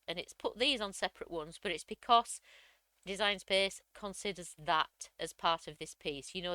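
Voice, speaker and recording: A woman, slightly croaky from a cold, chattily walking through a crafting software tutorial step by step. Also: lowish voice, dry recording. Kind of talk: screen-recorded software tutorial.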